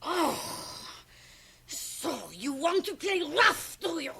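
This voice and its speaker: A woman's voice: very high voice, extremely slow, bright, forceful tone, heavily acted. A woman playing a grotesque, sly European magic-show performer in a radio drama, teasing and provocative in a theatrical manner. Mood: taunting, fierce. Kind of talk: 1980 radio drama acting. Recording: 1980 radio drama, home cassette transfer.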